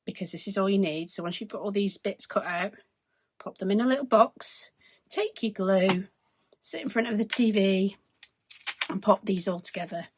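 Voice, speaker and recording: Hoarse woman talking through a craft project. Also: medium pitch, moderate pace. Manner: chatty, explains as she works. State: losing her voice, hoarse. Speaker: craft tutorial host. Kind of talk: casual step-by-step crafting demonstration. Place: craft desk indoors, overhead camera.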